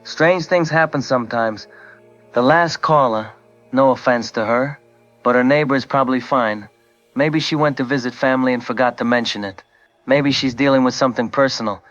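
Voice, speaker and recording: A man's voice: medium pitch, normal speed, a bit bright, lo-fi sound, soft background music, natural voice. A man playing a skeptical, level-headed caller dismissing worries on a radio phone-in. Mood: dismissive. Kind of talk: radio drama phone-in acting.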